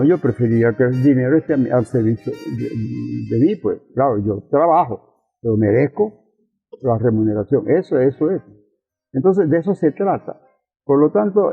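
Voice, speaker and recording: Thin, narrow radio sound with music behind an older Venezuelan man. His voice is husky and he explains politics like a lecturer, posing rhetorical questions and repeating his key phrases. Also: medium pitch. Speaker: interviewed communist politician and professor. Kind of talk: conversational political explanation in an interview.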